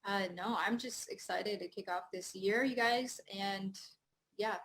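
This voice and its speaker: A woman's voice: mid-range voice, a little slow, fairly bright tone. A woman co-hosting a company call in a cheerful, casual way, a little far from the mic. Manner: cheerful, talks over a weak mic by raising her voice. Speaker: co-host of a company team call.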